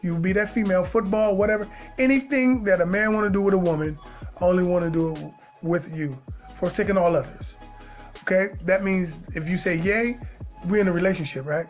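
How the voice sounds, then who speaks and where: A man's voice: high voice, brisk, faint music behind. A man co-hosting a podcast, talking in a playful, teasing way with streetwise slang. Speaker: podcast co-host. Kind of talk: casual chat.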